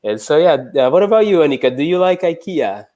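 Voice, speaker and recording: A young adult man from Brazil, an English teacher, chatting in a relaxed way during a live online lesson with many uh and um fillers. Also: a little high, a little fast.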